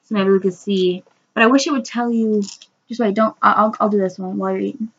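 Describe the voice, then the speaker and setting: A woman's voice: mid-range voice, steady tone, fast, husky, muffled recording. A teenage girl casually reviewing a toy in her bedroom, explaining each step in a chatty way, recorded on a webcam microphone. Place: bedroom, indoors, seated on the floor. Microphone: built-in webcam mic.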